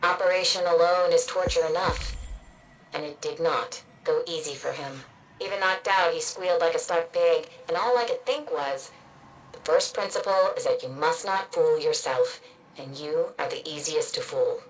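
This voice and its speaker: A woman's voice: sits low, normal speed, far from the mic, a little hiss or hum, lightly acted, rough recording. A woman playing a wary, sardonic android in conversational game dialogue, skeptical and pointed, a little off the microphone. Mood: uneasy, reflective. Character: wary, sardonic female android plotting in secret.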